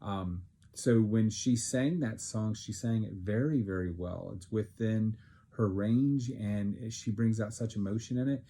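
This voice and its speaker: Low, mellow-voiced joking dad. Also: male voice, measured pace, husky. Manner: teasing, joking, rambling with many fillers. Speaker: podcast host and father. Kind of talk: casual family chat.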